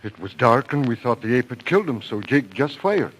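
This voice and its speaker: A man's voice: mid-range voice, big pitch swings, fairly quick, close to an everyday voice, rough recording. An actor playing a shaken, earnest man in an old-time radio drama. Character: shaken man recounting a fatal mistake. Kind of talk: 1940s radio drama acting. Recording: old radio recording, faint noise.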